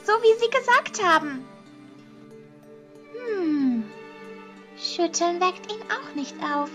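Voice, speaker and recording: A woman plays a cheerful, childlike teenage girl in an anime audio drama. Her voice is high and swings freely in pitch, with slow, singsong, curious phrasing. Music plays behind her. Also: pushed and bright, exaggerated voice. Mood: calling out.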